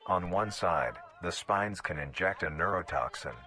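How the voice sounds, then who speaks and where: A man's voice: low voice, lively intonation, moderate pace, bright, forceful tone. A man reading scripted documentary-style narration for a horror video in a formal, clinical way.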